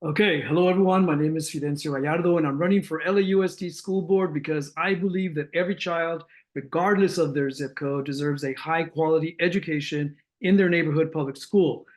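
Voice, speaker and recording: A middle-aged man from the Los Angeles area giving a prepared, measured campaign introduction as a candidate. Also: on the high side, steady tone. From Los Angeles area, United States. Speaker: school board candidate and teacher.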